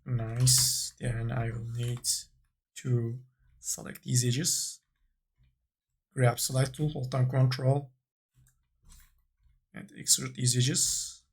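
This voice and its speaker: Slow, husky man narrating a tutorial. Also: medium pitch, level tone. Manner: halting, thinking aloud in short phrases. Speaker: 3D modeling tutorial instructor. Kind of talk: unscripted software tutorial narration.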